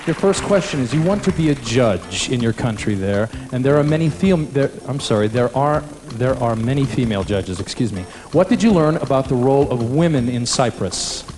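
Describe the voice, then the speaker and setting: A man's voice: medium pitch, animated, normal speed, few pauses. Upbeat male pageant host in a roomy arena. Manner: upbeat, interviewing contestants. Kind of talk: live TV pageant hosting. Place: large indoor arena. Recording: roomy arena sound with loud music and crowd.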